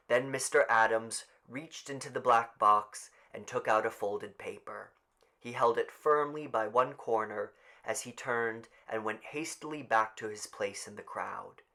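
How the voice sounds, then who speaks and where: A man's voice: mid-range voice, pushed and bright. A man narrating a scripted story as an audiobook reader.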